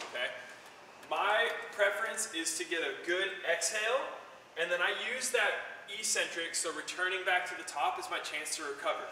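A young male fitness coach explains a machine in a relaxed, filler-heavy way, often ending points with "okay?". His voice is high and very bright. It is recorded from across a large gym, with strong echo, a long reverb tail and faint room noise behind. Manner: relaxed, many fillers, repeats "okay?" to check in. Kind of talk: casual instructional demo. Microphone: camera mic from across the room. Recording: echoey gym from far off.

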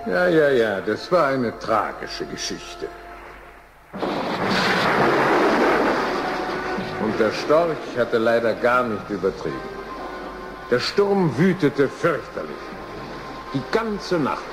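A man's voice: mid-range voice, very animated, slow, loud music behind the voice, rough recording. A man playing a wry storyteller, narrating a children's radio play in a dramatic, scripted manner. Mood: wry, grave. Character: wry, unhurried male storyteller.

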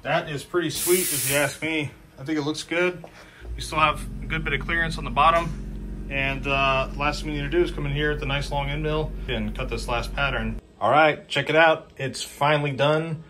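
Relaxed man explaining shop work. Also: a little high, moderate pace, background noise. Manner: relaxed, explains step by step with fillers. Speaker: machine shop owner. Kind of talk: casual workshop walkthrough. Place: machine shop beside a CNC mill.

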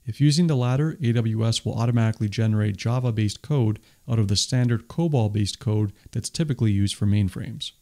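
A man's voice: on the low side, brisk, few pauses, mellow. A man hosting a tech video, reading a scripted voice-over summary with an enthusiastic, explanatory delivery. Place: voice-over, not recorded on the stage pictured.

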